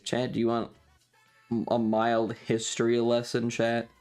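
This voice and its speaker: A man's voice: mid-range voice, monotone, a little slow, a little mellow, smooth, faint music behind. A man hosting a casual livestream, chatting with viewers in a playful, rambling, slightly distracted way. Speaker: live streamer and host.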